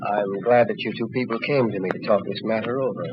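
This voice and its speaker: Male actor as grave middle-aged doctor, measured delivery, 1940s radio drama, muffled recording, faint noise. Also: lowish voice, natural voice. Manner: measured, solemn explaining.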